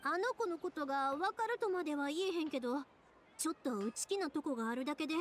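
An actress playing a spirited, kind-hearted young woman with a Kansai accent and a brisk, informal manner in an anime game. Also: high voice, animated, slight background noise. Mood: reassuring. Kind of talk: Japanese anime game voice acting.

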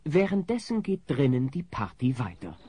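A man's voice: medium pitch, huge pitch swings, normal speed, noisy background. A man narrating a comedy audio drama in a scripted, wry storytelling manner.

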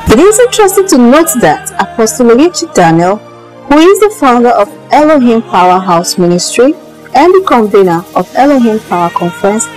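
A woman's voice: on the high side, big pitch swings, normal speed, a little mellow, poor audio. A woman reading scripted narration for a documentary. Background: music.

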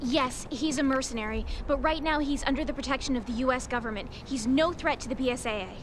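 An actress playing an earnest, polite young female government agent in a video game, gently insistent. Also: high voice, fast talker, noisy background, natural voice. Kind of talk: video game cutscene acting.

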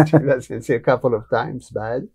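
An older man with a French accent, telling stories in a relaxed interview in a small studio room, blunt and animated, on a lapel mic. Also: mid-range voice, normal speed, breathy edge. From France. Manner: blunt, animated, gestures with hands while talking. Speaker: guest, martial arts teacher. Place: small studio room with wooden cabinets, indoors.

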